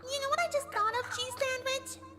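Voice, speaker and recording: A woman voicing a bubbly, childlike female cartoon pony who is a sweetly sadistic killer, with a squeaky, girlish voice. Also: fairly even intonation, fairly quick. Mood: cheerfully sadistic. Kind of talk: cartoon fan audio drama. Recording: loud music behind the voice.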